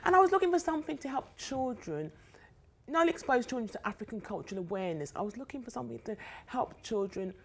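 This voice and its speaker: A woman's voice: a little high, lively intonation, fast talker. A middle-aged woman with a London accent, chatting enthusiastically as an interview guest and repeating words for emphasis. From South London, England. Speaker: guest author. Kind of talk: casual interview chat.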